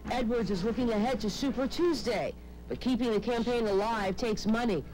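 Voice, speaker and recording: A woman's voice: on the high side, normal speed, a little mellow. Female reporter voicing a noisy news package. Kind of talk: scripted narrated news package. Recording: clear background noise, control-room chatter over it.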